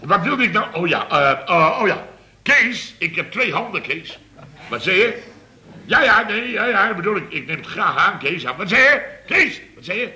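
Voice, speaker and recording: A blustering contractor boss from a radio comedy serial. His voice is rather high, piercingly bright and swings wildly up and down. He stammers, repeats himself and gets excitedly flustered. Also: moderate pace, exaggerated voice.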